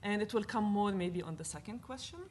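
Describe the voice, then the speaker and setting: A woman from Lebanon with a Lebanese accent, speaking as a panelist at a university event in an earnest, animated way, thinking aloud with fillers. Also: mid-range voice, brisk, a little hiss or hum. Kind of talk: panel discussion talk.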